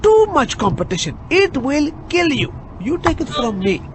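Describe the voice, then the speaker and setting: An actor playing a chatty, boastful immigrant cab driver with an Indian accent, hesitant and earnest in a comic radio drama. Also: male voice, very high voice, very animated, moderate pace, noisy background. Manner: hesitant yet garrulous, earnest, comically emphatic.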